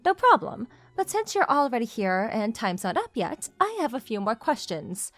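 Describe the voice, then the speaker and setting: A woman's voice: fairly high pitch, sing-song, moderate pace, faint music behind. A young woman playing a confident, pushy female CEO who teases her junior in a manga dub romance. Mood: confident, teasing. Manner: straightforward, teasing, self-assured.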